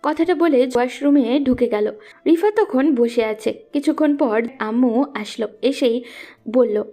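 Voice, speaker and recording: A woman's voice: high voice, measured pace, dark tone, soft background music. A woman narrating a scripted romantic story as the storyteller.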